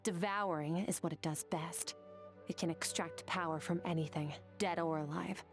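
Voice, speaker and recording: An actress plays a proud, stoic warrior woman from a video game. Her voice sits on the low side, measured and blunt, with a cool composure. Music plays underneath. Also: fairly even intonation, close to an everyday voice. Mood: cool, explanatory. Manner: composed, matter-of-fact, measured delivery.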